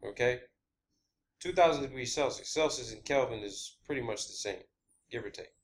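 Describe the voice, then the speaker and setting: A man hosting an informal theorizing monologue, speaking in a halting, repetitive and emphatic way with blunt wording. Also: medium pitch. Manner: blunt, swearing. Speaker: video host.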